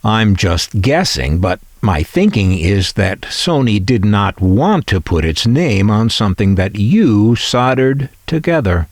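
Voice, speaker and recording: A man narrating a scripted video as its host, in measured, deliberate phrases with dry asides. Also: fairly low pitch, very animated, no echo. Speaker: host of a vintage electronics channel.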